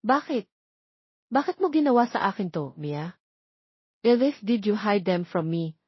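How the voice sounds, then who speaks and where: A woman's voice: mid-range voice, animated, measured pace, slightly rough, dry recording. Lady reading as wounded young dad in a love story, earnest n reproachful, kinda muffled dry recording. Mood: bitter, hurt. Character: hurt, pleading young father confronting his lost love. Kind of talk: romance audio story dialogue.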